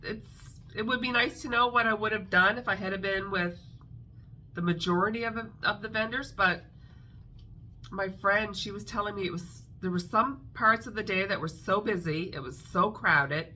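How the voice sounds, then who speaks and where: A woman's voice: medium pitch, sharp tone, slight background noise, low-quality recording. A middle-aged woman, a craft vlogger, chatting casually to camera with a congested, nasal voice and a rambling manner full of fillers. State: congested, nasal from a sinus infection.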